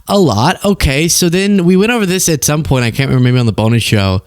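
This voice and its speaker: A man's voice: on the high side, lively intonation, fast talker, dry recording, studio quality. A man hosting casual political commentary in a wry, conversational way. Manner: wry, conversational, reading messages aloud mockingly. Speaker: political commentator and show host.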